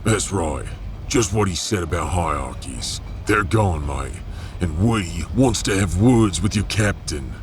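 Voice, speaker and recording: A man playing a rough, defiant refugee ringleader in a sci-fi audio drama, colloquial and taunting. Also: sits low, animated, a little slow, a little hiss or hum, voice pushed down.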